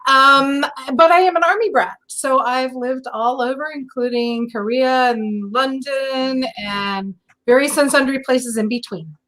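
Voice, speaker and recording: A woman's voice: sits high, measured pace. An American woman chatting easily as a guest on an online panel. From United States. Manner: relaxed, chatty. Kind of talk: casual chat.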